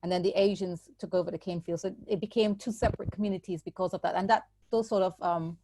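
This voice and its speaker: A woman, giving a measured, explanatory personal account as a guest. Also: lowish voice, fairly even intonation, background noise. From Trinidad and Tobago. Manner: measured, explanatory, qualifies her points. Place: church recording.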